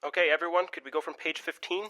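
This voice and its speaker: Casting: a man playing a businesslike theater colleague, fairly high male voice, direct, naturalistic radio drama. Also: fairly even intonation, rapid-fire, pushed and bright. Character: matter-of-fact male radio theater colleague running rehearsal. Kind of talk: naturalistic audio drama acting.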